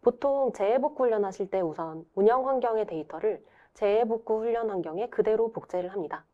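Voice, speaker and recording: A woman with a mid-pitched voice presents as part of a webinar. She explains things formally and politely, and the recording sounds somewhat dull and band-limited. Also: brisk. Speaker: presenter explaining a software product.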